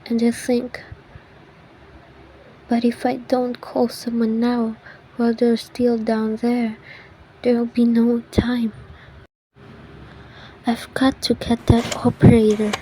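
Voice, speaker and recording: A woman's voice: fairly high pitch, monotone, slow, pauses often, a little hiss or hum, low-quality recording. A woman acting a demanding, terrified lady in an old-style radio thriller, imperious and breathlessly pleading. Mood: hushed fear. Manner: imperious, breathless pleading, hushed when afraid. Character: frantic, demanding, terrified wealthy housebound woman.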